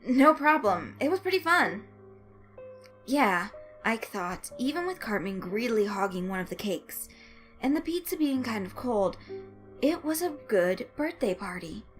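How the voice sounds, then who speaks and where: A woman reading a fan-written story aloud as narrator, in expressive scripted narration. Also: mid-range voice, normal speed. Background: music under the voice.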